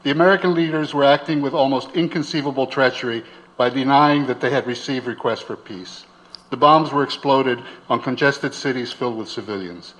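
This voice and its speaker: A man reading a written political text aloud with declamatory, deliberate phrasing. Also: mid-range voice, fairly bright tone, low-quality recording. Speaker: reader. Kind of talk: dramatic reading of a political editorial.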